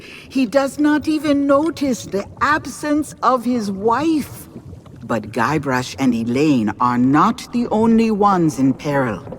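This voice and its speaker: An actress playing a calm, knowing mystical seer in a video game, speaking in a measured, portentous and formal way. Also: female voice, fairly low pitch, slight background noise. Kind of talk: video game character acting.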